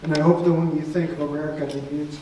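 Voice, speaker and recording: Older man, lecture and Q&A, unhurried, pauses and fillers, roomy hall sound, faint background noise. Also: a little high, little pitch movement, a little mellow. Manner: halting, many pauses and fillers. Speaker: guest lecturer. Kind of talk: lecture with audience Q&A. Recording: echoey hall, voice from far off.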